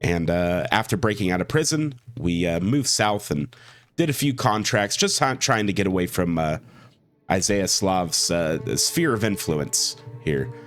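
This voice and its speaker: A man's voice: deep voice, lively intonation, a little slow, a bit bright, soft background music. A man hosting a strategy game playthrough, sick with a cold and talking in an easygoing way full of fillers. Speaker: let's play host. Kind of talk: gameplay commentary.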